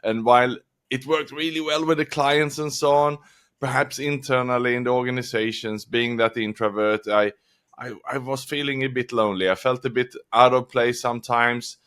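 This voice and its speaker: A man from Sweden speaks as a guest author, answering questions in a reflective, measured tone. His pitch sits in the ordinary middle range. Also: level tone, a bit bright, clean tone. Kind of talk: interview answers.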